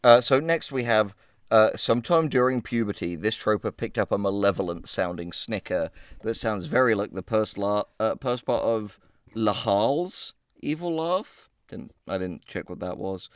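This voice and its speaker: A man's voice: lowish voice, expressive, normal speed, clear, resonant tone, dry recording, studio quality. A man hosting a casual comedic chat, swearing and joking as he reads entries aloud and comments on them. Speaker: show host reading out web entries.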